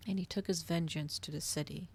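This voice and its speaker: A woman reading a story aloud in a soft, hushed ASMR style. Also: lowish voice, fairly even intonation, fairly quick, husky. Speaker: streamer reading a novel aloud.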